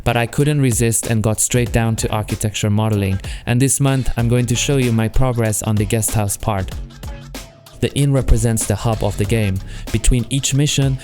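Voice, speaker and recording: A man with a French accent, a solo game developer narrating his monthly progress in a calm, earnest, scripted way. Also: mid-range voice. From France.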